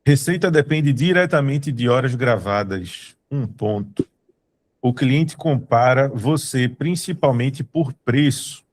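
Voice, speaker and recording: A man's voice: medium pitch, expressive, slow pace. A man with a Brazilian accent from Recife, presenting to an online meeting in a casual, emphatic way, repeating his points. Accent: Brazilian Portuguese, from Recife. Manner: emphatic, repeats points, talks straight to the audience. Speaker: studio co-founder presenting.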